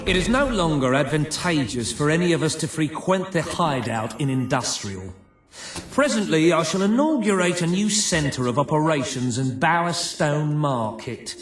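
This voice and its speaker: An actor playing a pompous, scheming crime boss in a video game, with florid, over-enunciated, long-winded formal diction. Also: male voice, a little high, expressive, moderate pace, soft background music, close to an everyday voice. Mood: smug, officious. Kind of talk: theatrical video game voice acting.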